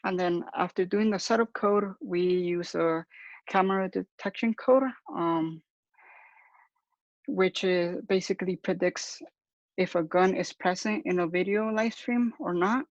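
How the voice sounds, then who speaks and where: A man's voice: high voice, steady tone, dry recording. A young man giving a hesitant, explanatory student presentation over a lo-fi video call. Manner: hesitant, halting. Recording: dull lo-fi call audio.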